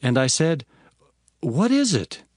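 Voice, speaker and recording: A man's voice: fairly high pitch, sing-song, slow pace, a little mellow, no echo, studio quality, exaggerated voice. An actor playing an earnest prophet recounting his visions, narrating dramatized scripture in a formal, declamatory way. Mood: earnest, reverent. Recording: clear music bed behind voice.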